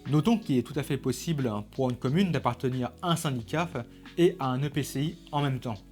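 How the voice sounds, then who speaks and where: A man's voice: mid-range voice, a little fast, darkish tone. A man reads scripted educational narration in a didactic, structured way of explaining. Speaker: presenter of an explainer video. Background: background music.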